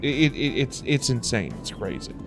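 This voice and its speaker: A man's voice: medium pitch, very animated, normal speed, gravelly. A man hosting a livestream, chatting casually in a rambling way and repeating phrases for emphasis. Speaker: livestream host. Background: music playing in the background.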